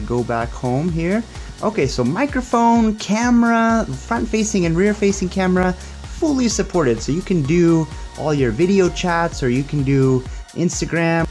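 A man's voice: fairly high pitch, darkish tone. A young man giving a casual hands-on tech demo in an upbeat, approving manner. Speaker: tech reviewer.

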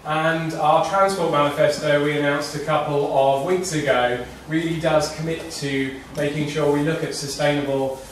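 A young man gives a campaign speech at a public meeting, pressing on through frequent ums and uhs. His voice is somewhat high for a man. He is heard from across a very echoey room, with faint noise under it. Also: steady tone, normal speed, hardly any pauses, distant mic. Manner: fluent with many filler ums and uhs. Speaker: parliamentary candidate. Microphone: far room camera.

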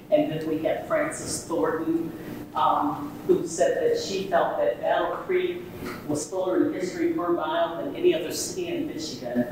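A middle-aged woman giving earnest remarks to an audience after a readers theater performance, with many fillers. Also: low voice. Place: large indoor museum room. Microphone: far camera. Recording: echoey room from far off, noisy.

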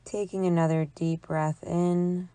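Low-pitched, very slow woman guiding relaxation. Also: smooth, no echo. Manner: calm, soothing, measured delivery with long pauses. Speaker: psychologist leading guided imagery. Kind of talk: guided relaxation meditation narration.

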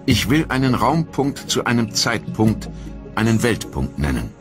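An actor playing an earnest early twentieth-century mathematician, giving a formal, solemn and measured lecture. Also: male voice, mid-range voice, big pitch swings, over music. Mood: solemn, declarative.

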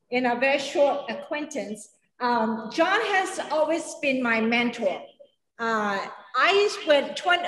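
A woman's voice: high voice, a bit bright. A middle-aged woman from Singapore and Malaysia, a chef and culinary educator, telling a story during a cooking demo in a large kitchen. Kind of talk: cooking demonstration. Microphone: camera at a distance. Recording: echoey, reverberant kitchen.

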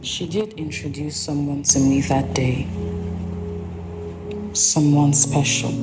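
An actress voices a reflective woman narrating spoken-word poetry in a drama. Her voice is on the low side and unhurried, with a measured, earnest rhythm. Loud music plays behind her. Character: reflective woman narrator testifying to divine love.

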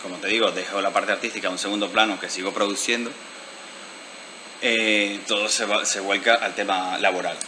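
A man's voice: on the low side, level tone, fairly quick, background noise. A man, the guest in an interview, speaking thoughtfully with hesitant restarts. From Venezuela. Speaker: guest artist. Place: lounge bar indoors. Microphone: handheld mic.

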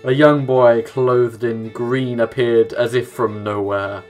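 A man's voice: mid-range voice, fairly even intonation, slow pace, darkish tone. A young man giving casual let's play commentary, reading game text aloud and adding offhand asides. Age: young adult. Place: small room at home, indoors. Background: game music with plucked guitar.

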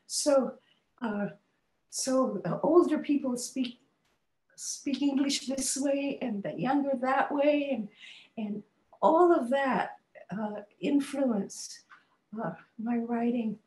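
Older woman author, slow and halting, many restarts; echoey home video-call sound. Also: sits high, a little mellow. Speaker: author and guest. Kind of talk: conversational interview answers. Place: home study with bookshelves, indoors.

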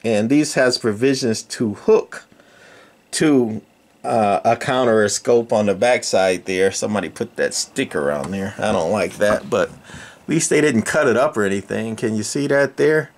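An older man casually demonstrating equipment in a folksy, rambling, halting way as host. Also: lowish voice. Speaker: radio repair channel host. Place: indoor electronics workshop.

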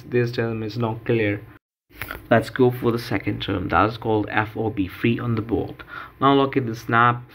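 A man delivers a step-by-step lecture at a slow pace. His pitch sits in the middle of the male range. He explains in a halting way, often pausing and repeating words as he goes.

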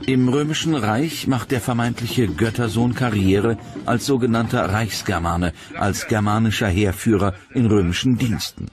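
Documentary narrator, male, low register, measured dramatic read over a music bed. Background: music under the narration.

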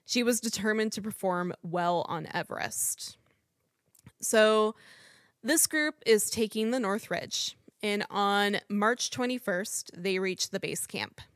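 A woman's voice: medium pitch, slow pace, no echo, studio quality. A woman hosting a podcast, reading a prepared true crime script and apologizing for how she says foreign names. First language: English. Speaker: podcast host.

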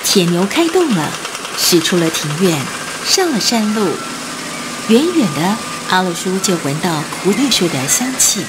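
A woman narrating a scripted radio drama in an expressive storytelling manner. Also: fairly low pitch, a little slow, heavy background noise.

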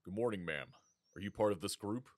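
A man's voice: fairly low pitch, lively intonation, fairly quick, darkish tone, no room sound, close to an everyday voice. A man playing a cold, suspicious army sergeant. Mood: polite. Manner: curt, cold, commanding. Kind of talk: full-cast audiobook acting.

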